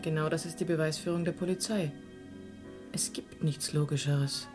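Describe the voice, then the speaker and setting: Actress as low-voiced, sceptical woman under suspicion. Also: fairly even intonation, moderate pace, music in the background, natural voice. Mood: reasoning. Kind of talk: German radio crime drama acting.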